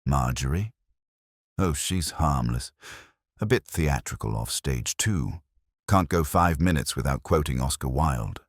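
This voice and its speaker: A man's voice: extremely low pitch, expressive, a little slow, no echo, clean studio sound, heavily acted. A man voicing a defensive, haughty suburban leading lady in a mystery story, prim and clipped. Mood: tight, dismissive.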